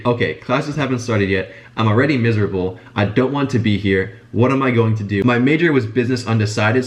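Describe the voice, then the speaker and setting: A young man telling his story in a casual vlog while congested and sick with a stuffy nose. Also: mid-range voice. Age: young adult. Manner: fast, chatty, informal. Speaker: business vlogger.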